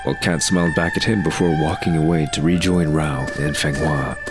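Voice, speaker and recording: A man's voice: extremely low pitch, steady tone, a little fast, over loud music. A man narrating a dramatic serial from a script.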